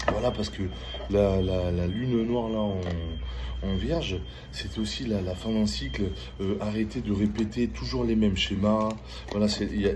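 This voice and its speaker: A middle-aged man chatting casually as he gives a tarot reading, halting and repeating phrases, often checking in with the listener. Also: lowish voice. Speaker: tarot reader. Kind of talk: casual spoken tarot reading with personal chat. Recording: clearly noisy ambient background.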